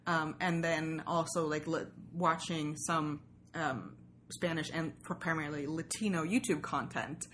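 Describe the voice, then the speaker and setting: A woman's voice: low-pitched, fairly even intonation, measured pace, fairly bright tone. A woman from Sweden, a native Swedish and Russian speaker, chatting casually and wryly in English as an interview guest. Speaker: guest, journalist and podcaster. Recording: faint background noise.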